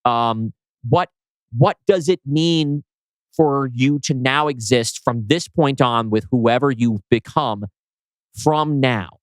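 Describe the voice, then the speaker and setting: A man's voice: mid-range voice, slow pace, clear, resonant tone, no room sound, rough recording. Dry-sounding man chatting casually about writing. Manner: explanatory, poses rhetorical questions, swears casually. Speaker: podcast co-host and scriptwriter.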